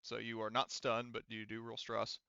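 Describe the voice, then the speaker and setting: A man's voice: medium pitch, monotone, moderate pace, creaky, sharp tone, smooth, dull audio. A man running a tabletop roleplaying game as game master, talking through rules and action in casual, sweary language. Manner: informal, swears freely.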